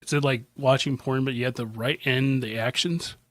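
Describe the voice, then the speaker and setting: A male podcast co-host in casual banter, joking and loud at the start of his sentences. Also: medium pitch, fairly even intonation, moderate pace, slight background noise.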